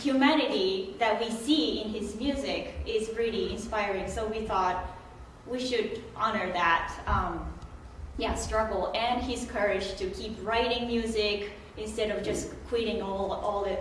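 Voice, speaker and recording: A woman's voice: medium pitch, steady tone, a little slow, distant mic, a little hiss or hum. A woman with a Japanese accent, a pianist, explaining thoughtfully to a concert audience with frequent hesitations. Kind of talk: casual spoken explanation to a live audience. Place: concert hall. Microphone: distant room mic. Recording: echoey hall recorded from afar.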